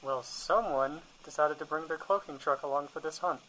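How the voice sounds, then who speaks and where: A man's voice: on the high side, moderate pace, bright, forceful tone, low-quality recording. A man playing an exasperated, practical monster hunter in a comedy radio drama.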